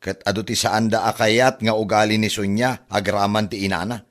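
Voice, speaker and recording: A man with an Ilocano accent acting a gossipy, animated neighbourhood rumour-spreader in a radio comedy drama. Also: lowish voice, moderate pace, close to an everyday voice. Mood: gossipy, scornful.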